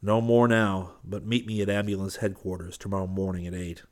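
A man reading the part of an earnest, commanding, short-tempered artist in a wartime story, brisk and urgent. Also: low voice, flat delivery, close to an everyday voice.

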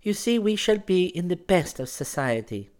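A young woman of the bohemian set, acted out by a male narrator, speaks in a lifted, high voice. Her phrasing is formal and careful, hesitant and guarded, with very lively rises and falls, as in a period storybook romance. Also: normal speed, lightly acted. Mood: timid, playful. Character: young French woman, shy, secretive, feverish bohemian.

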